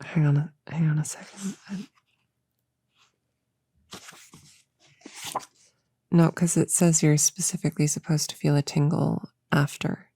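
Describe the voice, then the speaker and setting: A woman playing a flustered, earnest young novice witch in an ASMR audio roleplay, soft-spoken and halting, slipping into whispers. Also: low-pitched, fairly even intonation, breathy edge, no room sound. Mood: puzzled.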